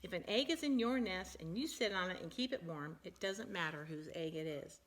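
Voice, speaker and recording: A woman voicing a calm, reassuring father bird in a storybook read-aloud, speaking in a steady, reasoning way. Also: on the low side, brisk.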